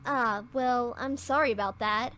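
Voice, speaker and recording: A woman's voice: on the high side, measured pace, pushed and bright, slight background noise. A woman voicing a snide, falsely sweet teenage mean girl in a visual novel.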